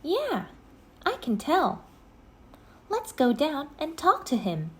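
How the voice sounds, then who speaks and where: Woman voicing an eager, high-pitched young girl. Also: wildly swooping pitch, takes it slowly, a bit bright, heavily acted. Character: eager, bold, friendly young girl adventurer.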